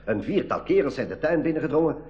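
Tough urgent ally in a radio play, quick and really animated. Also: male voice, a little high, lo-fi sound. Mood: grim, tense. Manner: urgent, commanding. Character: resolute male guard and ally, urgent, blunt. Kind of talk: 1980s school radio play acting.

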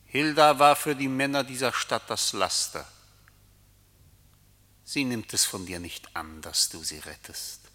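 An actor playing a menacing, cruelly mocking night rider, declaiming tauntingly in a reverberant radio play. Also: male voice, medium pitch, bright, forceful tone. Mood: accusing, relentless. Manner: declamatory, taunting, deliberate phrasing. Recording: long hall reverb.

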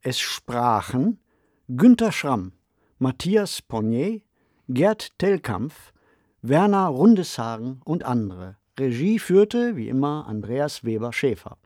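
A man co-hosting a podcast, speaking in a measured, deliberate way as he reflects on radio drama. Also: mid-range voice, big pitch swings, a little mellow, no room sound, studio quality. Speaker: podcast co-host. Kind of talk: reflective discussion of a radio play.

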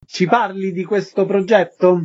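A man with a somewhat high-pitched voice hosts a radio interview. He introduces guests and asks them short questions. The sound is a little dull and lo-fi. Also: steady tone, unhurried, a little mellow.

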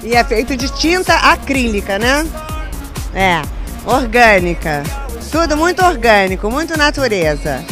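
A woman playing a fussy, animated art gallery guide in a comic podcast jingle. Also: on the high side, a little slow, music in the background. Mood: fussy, playful.

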